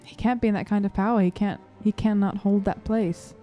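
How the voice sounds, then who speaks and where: A woman's voice: mid-range voice, steady tone, a little fast, lo-fi sound, soft background music. A woman playing a tabletop game, talking casually and improvising in character.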